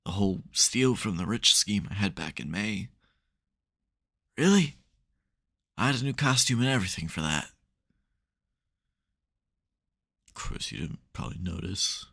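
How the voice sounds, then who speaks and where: A man's voice: lowish voice, lively intonation, moderate pace, gravelly, long pauses, a bit bright, exaggerated voice. A man playing a smug, theatrical supervillain who secretly cares, in a dramatic, wry, chatty way for an ASMR roleplay. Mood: exasperated, flustered.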